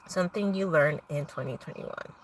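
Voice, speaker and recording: A woman's voice: deep voice, normal speed, recorded from a distance, a little hiss or hum. A young woman hosting a livestream, reading her own poems aloud with casual asides between them.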